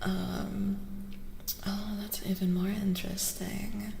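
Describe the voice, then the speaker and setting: A woman speaking softly and often whispering as she gives a casual, musing ASMR tarot reading. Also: medium pitch, level tone, slow, gravelly, slight background noise. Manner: hushed, often slipping into whispers. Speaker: streamer giving tarot readings. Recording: roomy reverberant sound. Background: soft music.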